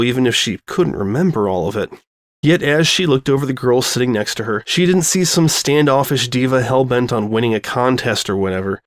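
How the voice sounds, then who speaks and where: A man's voice: medium pitch, no room sound, clean studio sound. A man reading a scripted story as its narrator. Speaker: narrator reading fan fiction.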